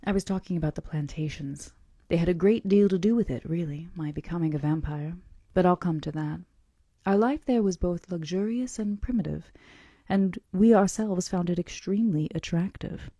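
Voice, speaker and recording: A woman reading the part of a calm, melancholy male vampire who tells of his past in a gentle, measured, confidential way. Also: on the low side, dry recording, muffled recording. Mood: wistful, reflective. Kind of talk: audiobook-style character reading.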